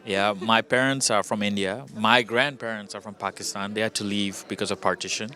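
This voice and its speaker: Low-voiced man, upbeat interview guest. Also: fairly even intonation, brisk, bright, forceful tone. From Indonesia. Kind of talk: casual interview answers.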